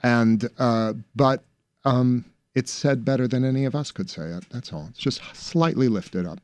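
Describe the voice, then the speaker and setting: A man's voice: mid-range voice, moderate pace, a little mellow, dry recording. A man in his forties from Pittsburgh, an actor talking casually in an interview, thoughtful, often restarting sentences and laughing easily. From Pittsburgh, USA. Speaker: stage actor guest. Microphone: studio mic.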